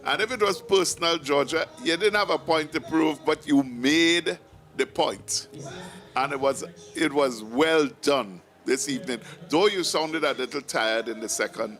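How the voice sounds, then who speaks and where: Brief: bright-toned Grenadian man, relaxed broadcast commentary, faint music behind. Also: fairly high pitch, measured pace. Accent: Grenadian with a Trinidadian tinge. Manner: conversational, reflective, many "you know"s. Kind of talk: live event commentary.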